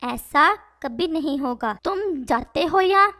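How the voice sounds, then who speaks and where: A high-pitched, protective mother bird from a cartoon fable, acted by a woman. She speaks with emotional, pleading emphasis. The sound is very dry. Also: expressive, slow pace, pushed and bright, no room sound, full character voice. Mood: defiant. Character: protective, anguished mother sparrow, tender and defiant. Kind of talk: Hindi moral-story cartoon dubbing.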